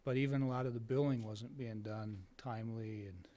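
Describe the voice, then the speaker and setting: A man's voice: medium pitch, steady tone, fairly quick, warm tone. A man with an ordinary mid-range voice speaks at a town board meeting. He is direct and asks pointed questions. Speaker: board member. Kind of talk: public meeting discussion.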